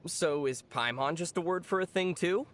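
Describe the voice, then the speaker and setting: An actor playing a calm, knowledgeable young traveler in an anime video game, measured and matter-of-fact with gentle teasing. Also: medium pitch, heavy background noise, close to an everyday voice. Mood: calm, wry.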